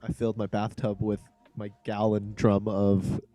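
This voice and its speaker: A man's voice: on the low side, monotone, moderate pace, warm tone. A man co-hosting a casual chat, rambling through explanations with plenty of filler words. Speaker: podcast co-host.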